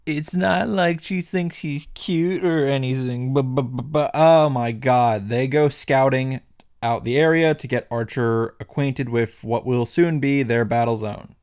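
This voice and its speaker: Dude recapping a visual novel, snarky and swears a lot, average pitch, dry no-room sound. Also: male voice, unhurried, a little mellow, clear, resonant tone, no room sound. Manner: wry, irreverent, swears for comic effect. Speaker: video game summary host. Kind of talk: sarcastic story recap with asides.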